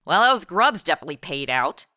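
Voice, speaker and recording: A woman's voice: fairly low pitch, big pitch swings, brisk, bright, forceful tone, dry recording, studio quality. A woman playing a sarcastic modern woman out of place in the 1890s, quipping in slang in a comedy audio drama.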